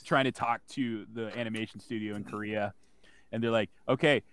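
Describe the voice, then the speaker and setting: A man co-hosting a casual podcast, rambling through long animated stories with some swearing. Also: lowish voice, a little fast, clean tone, no room sound. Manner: rambling, animated storyteller, profane. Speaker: podcast co-host.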